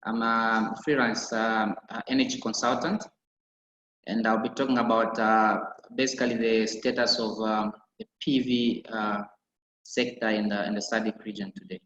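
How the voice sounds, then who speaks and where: A man from Zambia with a Zambian accent, speaking haltingly and informally as a webinar panellist. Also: on the low side, flat delivery, fairly bright tone. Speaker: energy consultant, webinar panellist. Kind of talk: informal self-introduction on webinar. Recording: echoey, muffled web call.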